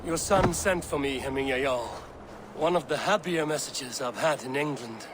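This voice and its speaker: An actor playing a loyal, steady Viking warrior in a video game, speaking in a measured, earnest way. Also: male voice, medium pitch, noisy background, natural voice. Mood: warm. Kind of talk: cinematic game acting.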